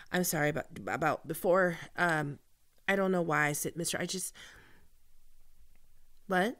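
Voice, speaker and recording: A woman playing a flustered, smitten office team leader in an ASMR roleplay, stammering and apologetic in a halting manner. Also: medium pitch, gravelly, fairly bright tone, heavily acted. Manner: halting, stammering, apologetic, often dropping to whispers. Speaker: voice actor in an audio roleplay. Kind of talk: ASMR roleplay acting, one-sided dialogue.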